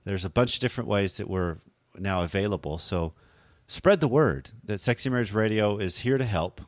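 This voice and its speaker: Deep-voiced, slightly hoarse male podcast host. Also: moderate pace, no room sound, clean studio sound. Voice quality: deep radio voice. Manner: conversational, rambling, self-correcting. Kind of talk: casual podcast talk.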